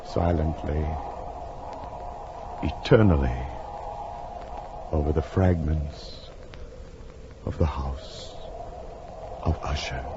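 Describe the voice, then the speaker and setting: Haunted horror-tale narrator, melodramatic, declamatory, 1940s radio play, muffled noisy recording. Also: male voice, very deep voice, heavily acted. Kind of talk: 1940s radio drama acting.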